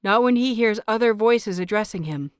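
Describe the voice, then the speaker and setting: A woman reading a story as scripted dramatic narration, measured and deliberate, with dramatic pauses. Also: fairly high pitch, clear, resonant tone, no echo, studio quality. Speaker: audio fiction narrator.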